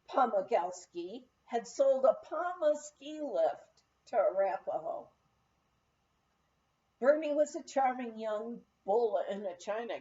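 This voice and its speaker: A woman narrates a memoir in an expressive storytelling style. Her voice is fairly high and mellow, and she takes her time with each phrase. The recording sounds a little dull and lo-fi. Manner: storytelling, acts out quoted dialogue. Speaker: audiobook reader.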